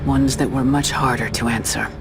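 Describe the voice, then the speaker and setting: Skeptical female game warrior, measured dramatic narration, loud music underneath. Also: rumbling low, fairly even intonation. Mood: guarded reflection.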